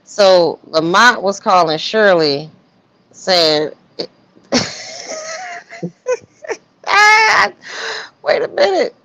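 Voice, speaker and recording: A woman hosting a casual live stream, commenting on messages in a blunt, slangy, animated way. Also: medium pitch, extremely slow, long pauses. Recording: dull, lo-fi stream audio.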